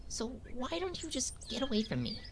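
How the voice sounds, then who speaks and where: A woman's voice: high voice, wildly swooping pitch, moderate pace, a little hiss or hum, exaggerated voice. A woman voicing a bitter, soulless talking flower in a comic dub, scornful and dramatic, swinging from sullen to menacing.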